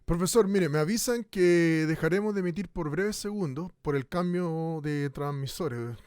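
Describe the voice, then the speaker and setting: An actor playing a Chilean radio host in a radio drama, interviewing on air in a halting way. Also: male voice, fairly high pitch, darkish tone, clean tone, no echo, studio quality, lightly acted. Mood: businesslike, halting. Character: male radio host broadcasting live in a bleak future.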